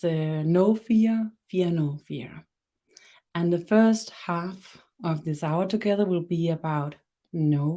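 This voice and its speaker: Slow, mellow-voiced Danish woman. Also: on the low side. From Denmark. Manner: slow and measured, halting with fillers and restarts; soft, guiding instructions. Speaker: webinar host, energy worker. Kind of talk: live-streamed webinar with guided body meditation.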